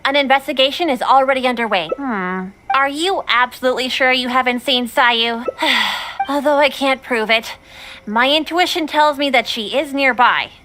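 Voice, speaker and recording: High-voiced, formal young shrine maiden. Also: female voice, moderate pace, bright, forceful tone, slight background noise. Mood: puzzled, searching. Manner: formal, polite, composed. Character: dutiful, perceptive young shrine maiden woman. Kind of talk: anime video game voice acting.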